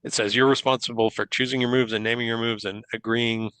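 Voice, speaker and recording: A man with a bright, sharp tone explains game rules to friends on a podcast. His voice sits close and dry with no room sound. He is patient and quotes the rulebook as he goes. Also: medium pitch, flat delivery, quick pace, clean tone, dry recording, low-quality recording. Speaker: guest game designer. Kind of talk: casual conversation.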